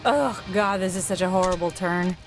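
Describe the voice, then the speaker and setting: A woman's voice: fairly low pitch, moderate pace, faint music behind, close to an everyday voice. A young woman playing a sarcastic, combative teenage girl in a naturalistic video game, dry and goading. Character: snide, combative teenage girl, cool and needling.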